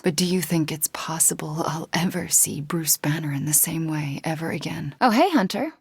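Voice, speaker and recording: An actress playing a guilt-haunted, wry young sorceress in a video game, speaking in an intimate, earnest way. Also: female voice, fairly low pitch, darkish tone, husky, dry recording. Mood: troubled, regretful.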